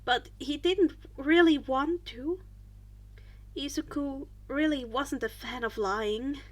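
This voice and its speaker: A woman reading fan fiction aloud as an expressive story narrator. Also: high-pitched, slow.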